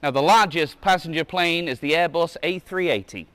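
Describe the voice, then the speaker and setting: Higher-pitched man reading aloud, dry close sound. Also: moderate pace, a bit bright, clear, resonant tone, no room sound. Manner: stumbles over words and corrects himself. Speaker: museum guide reading a children's book aloud. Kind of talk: read-aloud storytelling with casual asides.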